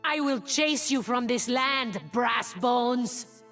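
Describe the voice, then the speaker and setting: A fierce feline huntress from a video game, speaks slowly and deliberately. She is proud and menacing, taunting her prey. Her voice sits a little away from the mic with music behind it. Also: fairly high pitch, a bit bright, distant mic. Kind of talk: video game character voice lines.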